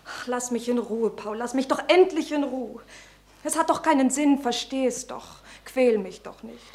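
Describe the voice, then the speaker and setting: A young actress playing an emotional woman in a radio play, pleading and often speaking in a hush. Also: fairly high pitch, moderate pace, lightly acted. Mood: upset. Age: young adult.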